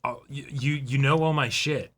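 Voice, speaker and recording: A man's voice: mid-range voice, fairly even intonation, normal speed, a bit bright. A man co-hosting a reaction show in casual discussion, agreeable and often voicing imagined thoughts. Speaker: co-host of an anime reaction show.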